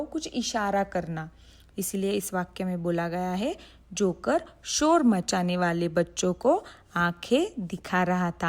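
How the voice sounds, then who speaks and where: A young woman teaches a children's lesson, reading each sentence and repeating it. She speaks very slowly, at a middle pitch, and keeps checking with questions. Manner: slow, repeats sentences, asks pupils questions. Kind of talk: explaining Hindi idioms to children.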